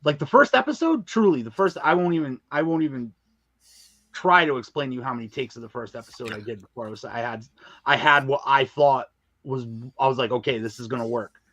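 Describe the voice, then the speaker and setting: A man's voice: mid-range voice, animated, brisk, a bit bright, no room sound. A man chatting casually as a podcast guest about his creative work, speaking emphatically with slangy wording and repeated phrases. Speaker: guest, audio drama creator and narrator. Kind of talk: casual podcast interview chat.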